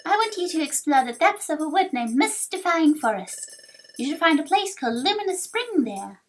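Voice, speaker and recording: Girl doing a formal guild deputy from a game, high voice, goes up and down a lot. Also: sing-song, normal speed, a little hiss or hum. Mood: stern, instructing.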